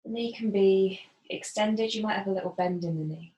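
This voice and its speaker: A woman gently guiding a body scan as a yoga facilitator, unhurried and leaving pauses. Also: medium pitch, highs cut off. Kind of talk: guided body scan meditation instruction. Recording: roomy, echoing voice.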